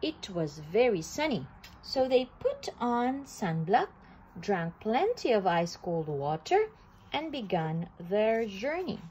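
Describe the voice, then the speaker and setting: A woman reading a children's picture book aloud, slowly and with animated delivery. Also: mid-range voice, slight background noise, poor audio. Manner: slow, animated storytelling with occasional restarts. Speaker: storybook reader.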